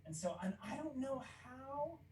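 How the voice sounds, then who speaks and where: A man from Kansas with a squeaky voice, a puppeteer guest chatting casually and acting out stories. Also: a little slow, recorded from a distance, slight background noise. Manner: animated storytelling, acts out quotes. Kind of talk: casual interview chat.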